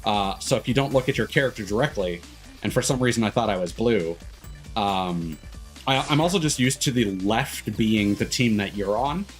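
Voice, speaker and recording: Man streaming games over loud music. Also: lowish voice, lively intonation, moderate pace. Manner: opinionated, sweary, critical. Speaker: livestreamer. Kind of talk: casual rambling game stream commentary. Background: game music.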